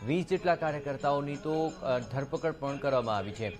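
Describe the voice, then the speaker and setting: A man's voice: mid-range voice, brisk, darkish tone. A man anchoring a news broadcast, reading and ad-libbing the reports. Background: background music.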